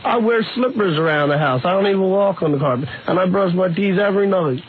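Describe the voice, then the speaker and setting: A man who is a studio guest on a radio show, answering in slow, simple, indignant replies. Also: sits high. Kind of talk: radio call-in banter. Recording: dull phone-like radio line with hiss.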